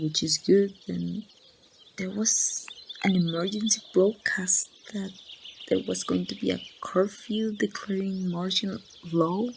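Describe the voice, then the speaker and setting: A grieving female survivor , acted by a woman with a Mexican accent, confides in someone she lost in a hushed ASMR roleplay. Her delivery is slow and flat, with gaps between phrases and a creaky edge. She is heard over faint noise and birdsong. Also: on the low side. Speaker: amateur voice actor.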